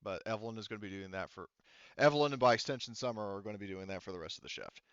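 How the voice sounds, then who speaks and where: Low, smooth-voiced man, slightly muffled. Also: very fast, fairly bright tone, dry recording. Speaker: game master. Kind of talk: running a tabletop roleplaying game, casual.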